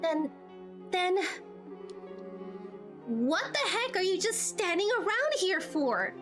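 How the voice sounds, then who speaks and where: Woman acting high-pitched, frantic teenage heroine, over music. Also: sing-song, slow, fairly bright tone, exaggerated voice. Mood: panicked. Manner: urgent, stammering. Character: impulsive, anxious teenage heroine. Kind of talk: amateur game cutscene voice acting. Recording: music behind the voice.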